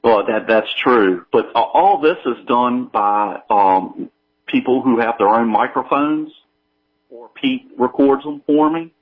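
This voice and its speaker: A man giving casual, modest, halting answers as a radio interview guest, on a line with fluctuating volume. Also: mid-range voice, dull audio. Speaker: guest, audio drama creator.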